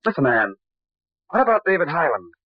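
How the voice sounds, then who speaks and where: A man's voice: on the high side, sing-song, fairly quick, breathy edge, no room sound. An actor playing a concerned, questioning man devoted to his sweetheart, in 1940s radio style. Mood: probing. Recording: dull, lo-fi old radio recording.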